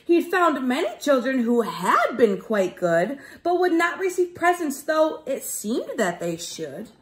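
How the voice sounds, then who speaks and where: A woman teacher reading aloud to young children in an expressive storytelling style, explaining things simply. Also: sits high, a little slow.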